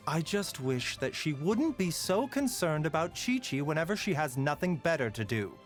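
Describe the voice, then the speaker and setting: A calm, courteous pharmacist speaks softly and with measured care. His fairly high voice rises and falls with lively, wry turns, over faint game music. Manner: soft-spoken, measured, politely wry. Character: gentle male pharmacist doctor, calm and courteous. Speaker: game character voice lines. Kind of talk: scripted game voice lines.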